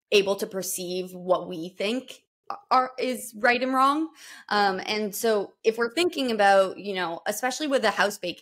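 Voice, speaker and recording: A woman's voice: medium pitch, a bit bright. A woman speaking as a guest dog trainer on a podcast, explaining things in an earnest, patient way. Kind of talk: podcast conversation.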